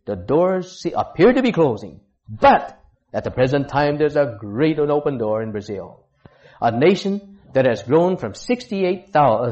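Halting preacher from Texas, lo-fi tape. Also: male voice, mid-range voice, darkish tone. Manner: halting, with frequent restarts and searching for words. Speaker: missionary preacher. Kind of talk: sermon. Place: church.

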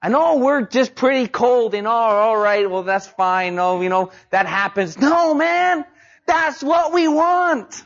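Male preacher, high voice, emphatic sermon delivery, dull lo-fi recording. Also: steady tone, slow pace, fairly bright tone. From Mexico. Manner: emphatic, rising to high pitch on key points. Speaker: missionary preacher.

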